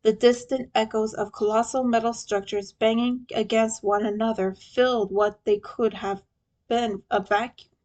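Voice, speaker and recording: A woman's voice: a little high, little pitch movement, normal speed, darkish tone. A woman reading scripted fan fiction aloud as the story's narrator.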